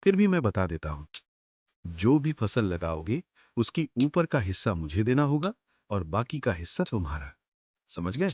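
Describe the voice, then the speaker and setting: A man's voice: on the low side, big pitch swings, moderate pace, a little mellow, muffled recording, a little hiss or hum. A man voicing a greedy, cunning village moneylender in a cartoon story, with a smug and sly way of talking. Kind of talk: Hindi cartoon story voice acting.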